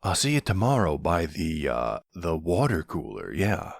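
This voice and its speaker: Man playing a deep, smug young mafia boss. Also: huge pitch swings, creaky, dry recording, clean studio sound, exaggerated voice. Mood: smug, playful. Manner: teasing, unhurried, smug. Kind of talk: ASMR boyfriend roleplay acting.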